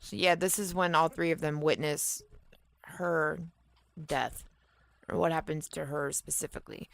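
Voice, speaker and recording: A woman's voice: deep voice, fairly even intonation, unhurried, vocal fry, dry recording. A woman co-hosting a podcast, casually improvising story ideas in blunt, slangy, sweary language. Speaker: podcast co-host.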